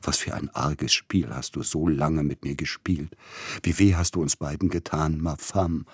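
A man's voice: rumbling low, steady tone, unhurried, a little mellow, breathy edge, no echo, heavily acted. A man reading the part of a dying composer in a fever, agitated and exclamatory, swinging between accusation and tenderness, in an audiobook. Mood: tearful, tender.

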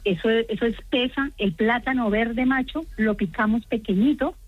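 A talkative Colombian woman phones in to a radio show. Her voice is on the high side, and she explains things in chatty, detailed lists over faint background music. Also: normal speed, no echo, narrow, tinny audio. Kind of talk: chatty explanation of home cooking.

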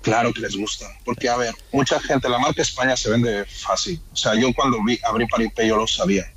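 A man's voice: medium pitch, brisk, fairly bright tone, slight background noise, rough recording. A man with a Madrid Spanish accent, a restaurant owner being interviewed, talking casually with colloquial question tags and the odd English loanword. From Spain, born in Ecuador and raised in Madrid.

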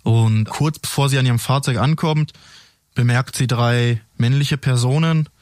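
A man who is a native speaker of both French and German, a police officer recounting events in a measured, explanatory way with pauses, as an interview guest. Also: medium pitch, a little mellow, no echo, clean studio sound. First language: French and German, bilingual. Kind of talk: recounting a case in a studio interview. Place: studio.

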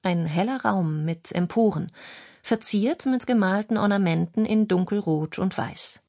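A woman's voice: mid-range voice, unhurried, mellow. A woman reads a scripted documentary narration as a radio feature narrator.

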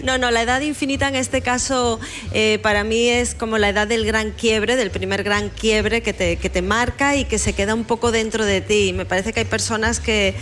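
A woman's voice: on the high side, fairly even intonation, normal speed, fairly bright tone. A woman speaking as a guest novelist in an interview, answering conversationally and repeating words for emphasis. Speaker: guest novelist discussing their book.

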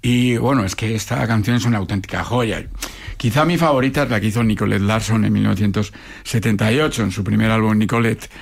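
A man's voice: fairly low pitch, steady tone, quick pace, vocal fry. A man from hosting a music radio show, chatting in a rambling way full of restarts. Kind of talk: conversational radio presenting.

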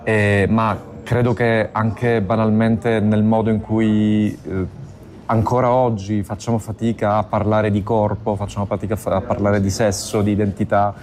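A man's voice: fairly low pitch, little pitch movement, unhurried, darkish tone. A man talking reflectively in an interview, hesitant and searching for his words. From southern Italy. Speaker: author being interviewed.

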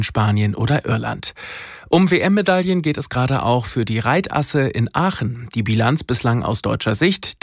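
A man's voice: medium pitch, no room sound, studio quality. A man presenting sports news on a broadcast in a scripted style.

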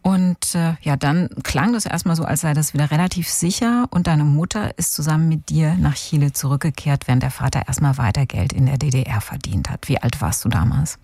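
Female interview host, low husky register, close dry studio sound, conversational questioning. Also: brisk, few pauses, warm tone, dry recording.

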